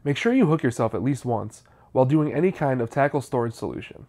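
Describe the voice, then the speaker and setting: A man's voice: medium pitch, lively intonation, fast talker, darkish tone. A man, narrating a how-to video in a scripted, explanatory style.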